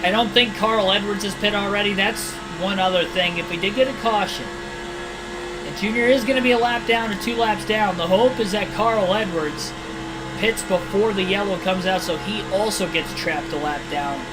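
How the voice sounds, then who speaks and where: A man giving steady play-by-play commentary while streaming a racing video game, often hedging his calls. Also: sits high, normal speed, noisy background. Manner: steady race-caller delivery, hedging guesses. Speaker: game livestreamer. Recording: video game music behind. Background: game music.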